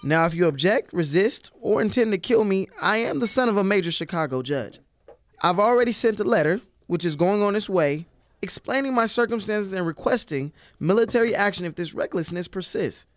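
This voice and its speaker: Green frontier deputy, male actor: high, very expressive pitch, wordy and earnest, anxious edge, close dry recording. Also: a little fast, no echo. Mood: earnest, resolute. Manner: hesitant, stammering. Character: nervous, reluctant young deputy from Chicago, earnest and wordy. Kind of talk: audio play acting.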